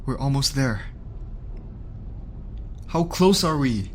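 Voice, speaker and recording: A man's voice: medium pitch, lively intonation, slow pace. A man playing an urgent, caring field medic in an audio roleplay, pleading and commanding. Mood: tense, pressing. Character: urgent, caring male field medic fighting to save a patient. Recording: clearly noisy, ambience behind voice.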